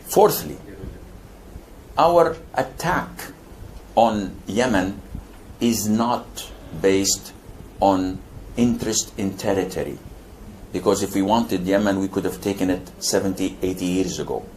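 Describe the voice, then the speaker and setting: Older Saudi man preaching, low voice. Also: slow pace, long pauses, darkish tone, a little hiss or hum. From Saudi Arabia. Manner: wry jokes, emphatic rises in pitch. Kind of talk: lecture Q&A answer.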